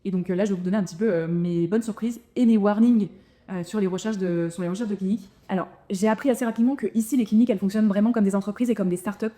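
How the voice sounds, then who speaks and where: A woman's voice: mid-range voice, steady tone, racing, dark tone. A woman in her thirties, a dentist talking casually to camera about her move abroad, with many fillers and restarts. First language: French. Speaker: dentist sharing her expat experience.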